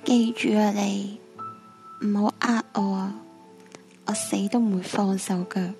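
A woman's voice: mid-range voice, fairly even intonation, measured pace, vocal fry, dark tone, faint music behind. A woman with a Hong Kong Cantonese accent playing a clingy, possessive schoolgirl ghost in a radio drama. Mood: tender, possessive.

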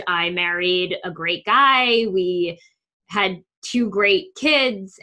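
A middle-aged woman with a New York area accent, speaking earnestly as an interview guest and pausing often with "um". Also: medium pitch, pushed and bright. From northern New Jersey. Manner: frequent ums, thoughtful and earnest. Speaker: coach, guest. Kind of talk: conversational interview.

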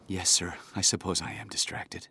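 Humble, anxious fisherman for kids' radio play: very low, husky, hesitant. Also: flat delivery, moderate pace, pushed and bright, exaggerated voice. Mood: worried, downcast. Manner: hesitant, apologetic. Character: humble, poor young fisherman, quiet and anxious. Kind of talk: children's audio folktale drama.

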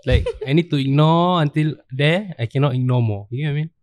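A man's voice: a little high, fast talker, darkish tone, no echo. A man, co-hosting a casual podcast chat and chiming in a lot. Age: 30s. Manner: chatty, interjecting, explains with repetition. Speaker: podcast co-host.

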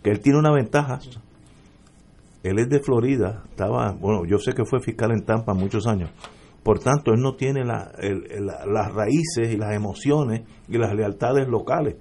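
A middle-aged Puerto Rican man on a political radio panel, speaking emphatically and building to forceful points. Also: on the low side, moderate pace. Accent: Puerto Rican Spanish.

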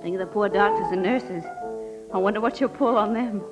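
A woman's voice: mid-range voice, fairly quick, music in the background, close to an everyday voice. An actress playing a curious young woman, a photographer's friend, in a 1940s radio drama. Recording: vintage radio broadcast recording.

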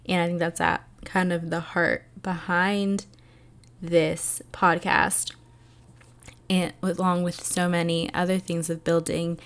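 A young woman hosting her own podcast, talking alone in a soft, soothing and earnest way, with many fillers. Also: on the low side. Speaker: podcast host. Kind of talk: reflective solo podcast talk.

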